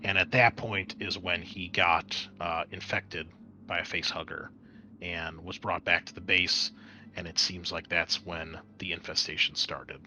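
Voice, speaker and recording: A man's voice: sits low, fairly even intonation, measured pace, pushed and bright, a little hiss or hum, rough recording. A man narrating a tabletop roleplaying game as game master, in an explanatory, conversational way.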